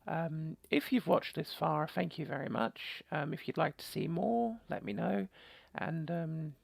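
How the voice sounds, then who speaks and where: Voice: mid-range voice, unhurried, creaky, dry recording. A self-deprecating, apologetic game player giving informal let's play commentary and reading story text aloud. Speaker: gaming video host.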